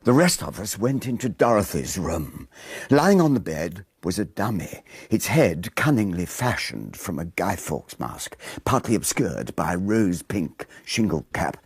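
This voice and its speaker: A genteel English narrator of a period radio whodunit. He is low and husky with lively, dramatic phrasing, recorded close and dry. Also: measured pace, dry recording, heavily acted. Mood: grave, relishing detail. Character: genteel Englishman recounting a country-house murder mystery. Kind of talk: first-person dramatic narration in a radio play.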